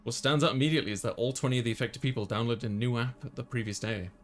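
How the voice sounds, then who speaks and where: A man's voice: mid-range voice, rapid-fire. A man reading a visual novel aloud as a let's player, narrating and chatting casually, with game music behind him.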